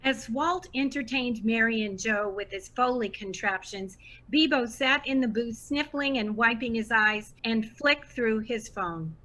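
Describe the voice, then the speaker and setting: Bright-voiced, devoted superfan woman acting as narrator. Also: fairly high pitch, a little slow, slight background noise, rough recording. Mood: narrating. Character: Petunia Stoneberry, devoted gushing superfan narrating the saga. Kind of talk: radio drama narration.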